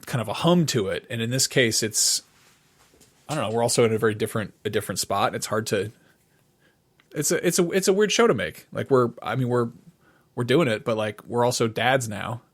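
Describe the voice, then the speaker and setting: A man's voice: mid-range voice, expressive. Middle-aged man thinking aloud. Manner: halting, circling, repeats phrases while thinking aloud. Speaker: podcast co-host. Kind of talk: casual conversational podcast chat.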